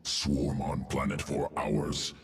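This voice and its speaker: A man voicing a clinical, cold insectoid alien in a video game, with a deep gravelly creature voice and clipped, telegraphic speech. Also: steady tone, takes it slowly, heavily acted. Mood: clinical, detached. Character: male alien insectoid evolution-master, clinical, terse, cold perfectionist. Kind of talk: sci-fi video game character acting. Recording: game music behind.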